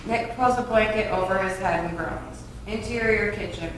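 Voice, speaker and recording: Woman reading out stage directions, medium pitch, roomy echo and far from the mic. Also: normal speed, a bit bright. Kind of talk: scripted narration at a table read. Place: reverberant room, far from mic. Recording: echoey room, distant mic, faint noise.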